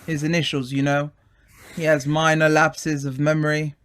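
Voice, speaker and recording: A man playing an earnest, take-charge gentleman amateur detective with theatrical delivery in an old-time radio play. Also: on the high side, little pitch movement, moderate pace, smooth, lightly acted. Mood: confiding. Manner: theatrical, exclamatory.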